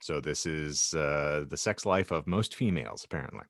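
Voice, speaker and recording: A man's voice: a bass voice, moderate pace, no room sound, studio quality. A man co-hosting a podcast in a wry, playful way, moving between casual chat and reading aloud with theatrical phrasing. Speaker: podcast co-host.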